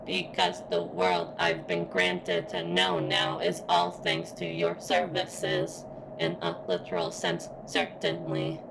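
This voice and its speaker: A woman playing a sentient robot girl in an ASMR roleplay, speaking haltingly and deliberately as if in constant pain, earnest and devoted. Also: low voice, sing-song, gravelly, noisy background, exaggerated voice. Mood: tender, earnest.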